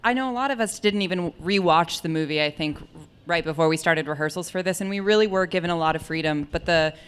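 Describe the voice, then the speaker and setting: A stage actress from Los Angeles answering audience questions, thoughtful and hedging with fillers. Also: female voice, on the low side, fast, clear, resonant tone. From Los Angeles, California. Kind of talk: casual talkback answer.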